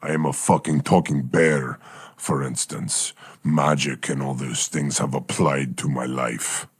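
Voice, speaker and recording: Talking bear, extremely deep voice, boastful, dry close sound. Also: male voice, fairly even intonation, unhurried, breathy edge, dry recording, heavily acted. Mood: gruff boastful. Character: gruff male Russian talking bear, ex-war project. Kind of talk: improvised comedy sketch.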